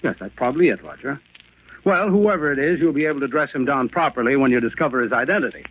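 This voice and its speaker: An actor playing a jovial colleague who teases and reassures a friend, in 1940s radio drama style. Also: male voice, medium pitch, animated, quick pace. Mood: reassuring. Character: breezy, amused colleague calming a worried friend. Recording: dull old radio recording.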